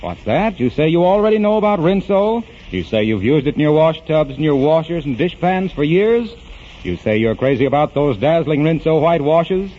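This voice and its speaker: Animated American male vintage radio announcer. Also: a little high, normal speed. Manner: folksy, salesmanlike. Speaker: announcer and sponsor spokesman. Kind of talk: 1940s radio announcing and ad patter. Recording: muffled, noisy vintage radio recording.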